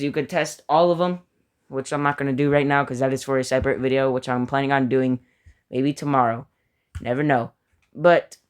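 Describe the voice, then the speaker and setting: Man, clear smooth voice, medium pitch, casual ranking commentary, informal, opinionated hobbyist. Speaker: hobbyist video creator.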